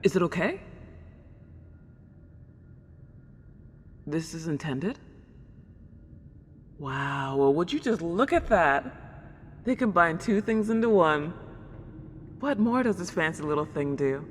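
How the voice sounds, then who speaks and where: A woman voicing a polite, excitable century-old ghost girl in an ASMR roleplay, with old-fashioned genteel wording and gushing wonder. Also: on the low side, unhurried, pauses often. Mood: amazed. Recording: long reverb, clear background noise.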